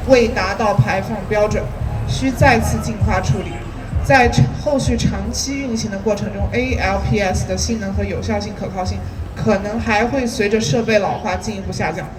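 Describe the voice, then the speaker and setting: A woman whose first language is Chinese, speaking as a youth climate activist and carefully reading a statement aloud with small hesitations. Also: medium pitch. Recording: distant mic, clear street noise with passing vehicles.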